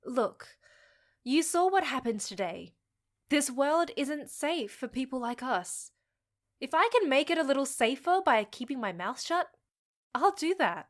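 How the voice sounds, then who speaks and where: Casting: actress for a street-smart, frank half-fae young woman in a fantasy audio drama; higher-pitched, wry, conversational, supportive. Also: big pitch swings, measured pace. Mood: reassuring.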